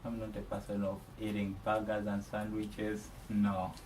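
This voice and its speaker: A man's voice: fairly low pitch, monotone, unhurried, darkish tone. A man from Uganda, an international student, giving frank, casual interview answers. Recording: roomy, distant, light noise.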